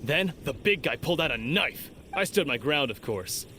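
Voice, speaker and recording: An actor voicing a boastful, self-assured young fighter in a video game. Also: male voice, fairly high pitch, expressive, normal speed, pushed and bright, a little hiss or hum. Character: boastful young fighter, cocky and proud of his brawls. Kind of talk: video game character dialogue.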